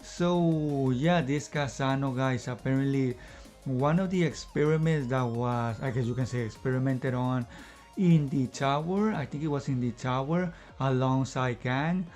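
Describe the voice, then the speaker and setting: A man of middle pitch talks through an episode review off the cuff, slightly winded, circling back on himself and padding gaps with filler phrases. Faint music sits under his voice. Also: darkish tone. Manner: rambling, fills pauses with "you know" and "I guess you can say". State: slightly out of breath. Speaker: anime reviewer. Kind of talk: casual unscripted review in one take.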